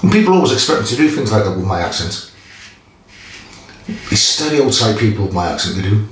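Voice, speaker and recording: A man's voice: lowish voice, sing-song, fairly quick. A man in his fifties with a Geordie accent, chatting casually while he shaves in a small tiled bathroom, talking in short stop-start phrases. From Newcastle area, England. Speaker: shaving vlogger. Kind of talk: casual chat while shaving. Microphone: camera in front of face. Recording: echoey bathroom, faint noise.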